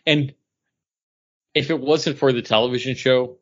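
Male podcast co-host, bright forceful voice, casual comics chat, slightly lo-fi sound. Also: medium pitch, steady tone, unhurried. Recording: dull lo-fi sound.

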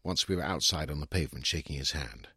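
A British man reading a literary short story in an expressive, wry first-person storytelling manner, with a husky voice. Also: extremely low pitch, quick pace, dry recording, clean studio sound. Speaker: story reader.

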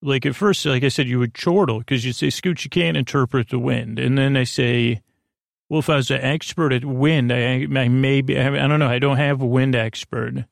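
Creaky-voiced man gently rambling. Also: medium pitch, fairly even intonation, dry recording, clean studio sound. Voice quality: creaky, gravelly. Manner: meandering, halting, trails off mid-thought; gentle, reassuring. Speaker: podcast host. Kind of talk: rambling bedtime monologue for sleep.

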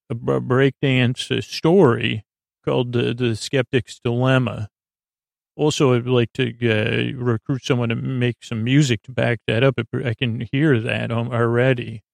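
A man with a creaky, fry-laden voice delivers a rambling bedtime monologue as a podcast host. His phrasing is halting and stammering, drifting off into tangents. The sound is dry, with no room around the voice, and his pitch sits in an ordinary male range. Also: level tone, no echo. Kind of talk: rambling bedtime monologue to lull listeners to sleep.

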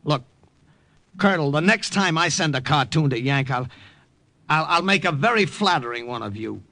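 An actor playing an earnest young American army sergeant who also narrates, in a 1940s radio drama. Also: male voice, fairly high pitch, lively intonation, moderate pace, creaky, sharp tone, no room sound, full character voice.

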